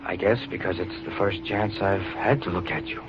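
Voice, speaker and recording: A brave, plain-spoken young fellow acted by a man talks low, halting and earnest, a little bashful. It is vintage radio drama, muffled and clearly noisy. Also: lightly acted. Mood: tender, bashful. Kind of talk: 1940s radio acting.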